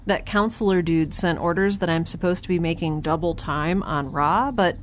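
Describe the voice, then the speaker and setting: Woman playing a low-voiced, chatty young space scientist. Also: moderate pace, a little hiss or hum, natural voice. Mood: wry, chatty. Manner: chatty, wry, self-interrupting, informal. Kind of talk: audio drama, first-person spoken log.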